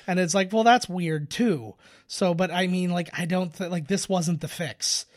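A man with a fairly high-set voice talks casually through a film. He thinks aloud, halting and restarting his sentences. The sound is very dry, with no room around it. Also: no echo. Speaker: podcast co-host. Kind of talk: casual film commentary chat.

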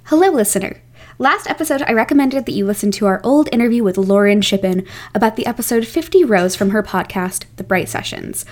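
A woman's voice: mid-range voice, brisk. A woman presenting a podcast segment, speaking to the listener in a friendly, whimsical way. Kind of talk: recommendation segment addressed to listeners.

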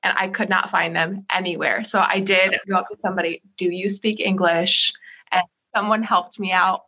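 A woman in her thirties, a teacher being interviewed, telling stories in a chatty, animated way. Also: fairly low pitch, normal speed, slightly rough, rough recording. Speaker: podcast guest, ESL teacher. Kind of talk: casual interview storytelling.

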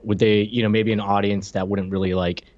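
A man's voice: fairly low pitch, level tone, a little fast, darkish tone, no room sound. A man in his forties co-hosting a podcast in casual chat, on a slightly muffled mic. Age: 40s. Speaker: podcast co-host.

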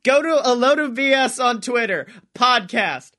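A man co-hosting a podcast, chatting casually and comedically in an animated, playful, sweary way. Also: extremely high pitch, a little slow. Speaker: podcast co-host.

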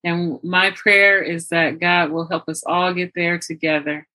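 A woman with a slightly low voice speaks as a podcast host, chatting with a guest. Her manner is effusive and full of appreciation, in a conversational interviewing style. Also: flat delivery, measured pace, bright, forceful tone, rough recording.

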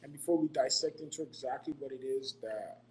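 A man's voice: mid-range voice, fairly quick, a little mellow, slight background noise, rough recording. A man from Ghana giving earnest, motivational money advice in a casual podcast, often repeating himself and checking in with "right?".

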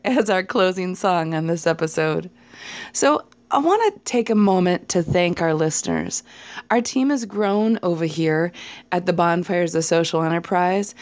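A woman hosting a podcast, hoarse from a cold, reading an upbeat, grateful intro. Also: mid-range voice, darkish tone. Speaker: podcast host.

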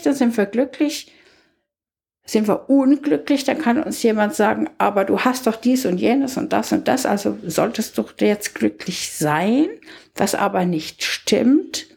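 A woman speaking in a reflective, conversational discussion, deliberate and emphatic in the way she makes her points. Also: medium pitch, creaky, darkish tone, slightly rough. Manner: deliberate, emphatic, uses rhetorical questions.

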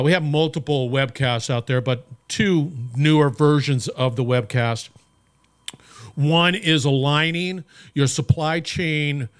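A man's voice: a little high, clear, resonant tone. A middle-aged man hosting a podcast, upbeat and emphatic, often repeating words as he talks. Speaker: podcast host. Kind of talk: podcast intro and interview.

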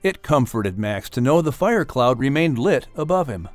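A man reading a children's adventure audiobook as narrator, in an expressive scripted storytelling style. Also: medium pitch, normal speed, faint music behind.

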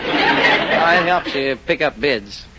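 Man, auctioneer, quiz contestant, lively pitch, muffled old radio, loud audience. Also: slow pace, over a noisy crowd, heavy background noise.

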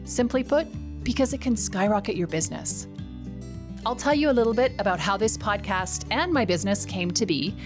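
A middle-aged woman with a slight Canadian accent hosting a scripted solo podcast, enthusiastic and emphatic, slowing down on key words. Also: medium pitch, expressive, over music. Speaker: podcast host.

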